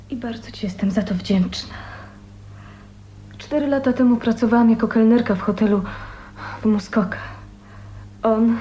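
An actress in a radio drama playing a gentle, dying young woman who confides hesitantly and earnestly. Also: fairly high pitch, highs cut off, natural voice. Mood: earnest, fragile. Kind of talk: 1980s radio drama acting. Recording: faint noise on radio recording.

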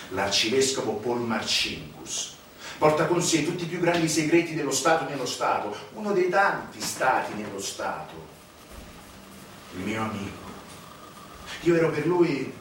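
A man on stage playing a brooding, self-justifying cleric in a theatrical monologue, declaiming with repetitions and deliberate pauses. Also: mid-range voice, noisy background, natural voice. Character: brooding, self-justifying male cleric, confessor to powerful schemer. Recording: echoey, distant stage recording with music behind.

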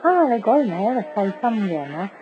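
A woman's voice: mid-range voice, sing-song, fast, slight background noise, lightly acted. An actress playing a chatty, bubbly, teasing young woman in a radio drama. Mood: bright, congratulating. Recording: slightly muffled radio recording.

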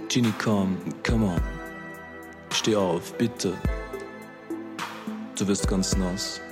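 An actor playing a pleading man in a radio play, speaking in halting, urgent fragments. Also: deep voice, steady tone. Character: pleading man urging someone out of the woods. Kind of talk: slow dramatic radio play acting. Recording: music under the voice.

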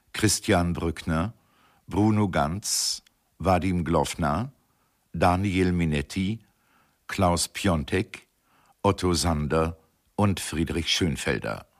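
A man announcing radio drama credits in a scripted, formal reading. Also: low voice.

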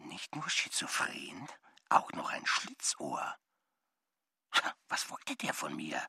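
Suspicious professor, very sharp hissed whisper, rough, slow muttering, irritated, radio play. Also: male voice, very deep voice, big pitch swings, dry recording, studio quality, heavily acted. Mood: suspicious, indignant. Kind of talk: German radio play acting.

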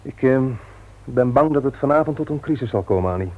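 An actor playing a frustrated young bookseller nephew in a 1960s radio drama, earnest and husky, on a dull old recording. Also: male voice, medium pitch, lively intonation, a little fast, close to an everyday voice. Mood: worried, confiding.

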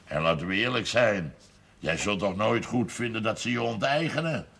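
A man's voice: fairly low pitch, normal speed, vocal fry, husky, heavily acted. A middle-aged man playing a blunt, shrewd village businessman in a television period drama. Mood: firm, resolute. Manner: emphatic, plain-spoken, forceful. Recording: faint background noise on TV audio.

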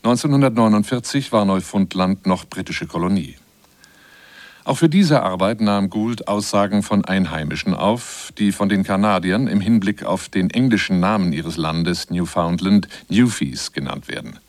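A man reading scripted documentary narration in a measured, formal way. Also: sits low, no echo. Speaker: narrator of a radio feature.

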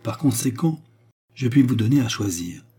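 Storybook role: repentant courtesan played by a male reader, solemn and commanding, declamatory, with a husky, dark tone. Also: mid-range voice, lively intonation, normal speed, pitched above the performer's own voice. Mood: grave, pleading. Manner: formal, declamatory, emphatic. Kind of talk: dramatic storybook dialogue.

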